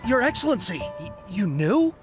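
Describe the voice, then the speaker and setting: Earnest young soldier, swinging intonation. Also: male voice, sits high, big pitch swings, moderate pace. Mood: pleading, fervent. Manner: earnest, formal. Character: earnest, devoted young soldier, stubborn yet deferential. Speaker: soldier addressing a superior. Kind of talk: scripted video game voice acting. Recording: music clearly behind the voice.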